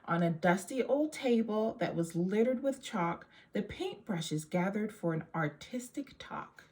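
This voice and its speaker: Slow-paced woman reading a storybook. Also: medium pitch, lively intonation, a little mellow. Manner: measured, rhyming verse delivery. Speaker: storybook reader. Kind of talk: read-aloud children's story.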